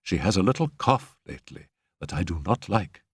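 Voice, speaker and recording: Brief: a stern older matron in a storybook, voiced by a male reader, low and measured, close and dry. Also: lively intonation, breathy edge, dry recording, full character voice. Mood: concerned.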